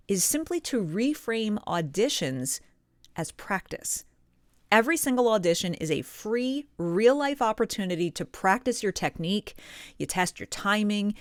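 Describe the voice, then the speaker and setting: A woman's voice: mid-range voice, no room sound, clean studio sound. A woman giving encouraging, explanatory advice straight to viewers as a video host, stressing her key points. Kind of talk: direct-to-viewer coaching talk. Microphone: close studio condenser microphone.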